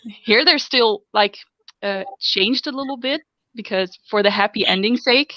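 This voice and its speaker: A woman's voice: on the high side, a little slow, no room sound. Dutch woman hosting, dry muffled sound. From Netherlands. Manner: chatty, self-deprecating, laughs often. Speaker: podcast host. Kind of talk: casual group podcast chat.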